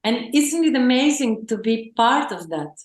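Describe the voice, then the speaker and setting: Effusive higher-voiced woman, echoey room. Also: lively intonation, moderate pace. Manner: effusive, rambling, frequent restarts. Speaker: guest, spiritual teacher. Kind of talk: enthusiastic interview talk.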